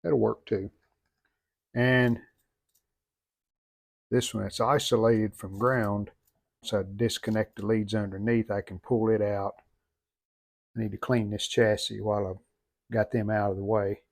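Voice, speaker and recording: A man's voice: fairly low pitch, little pitch movement, unhurried, vocal fry, long pauses. A middle-aged man giving unscripted how-to commentary at a workbench in a plain, folksy, matter-of-fact way. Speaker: hobbyist repair vlogger. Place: indoor home workshop at a workbench.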